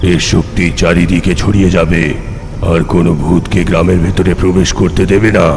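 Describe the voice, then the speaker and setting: A man's voice: low-pitched, little pitch movement, a little fast. A man playing a grave, all-knowing underworld guardian in a dramatic cartoon dub, speaking in solemn, foreboding instruction. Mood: solemn, warning. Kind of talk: dramatic dubbed cartoon dialogue. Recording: long hall reverb, faint noise.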